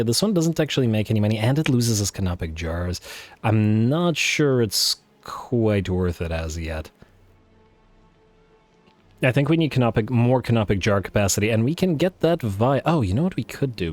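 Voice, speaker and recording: A man thinking aloud while playing a strategy game, full of fillers and restarts, his voice worn and going hoarse. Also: fairly low pitch, a little mellow, dry recording. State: losing his voice. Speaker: let's play host. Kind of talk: casual strategy game commentary.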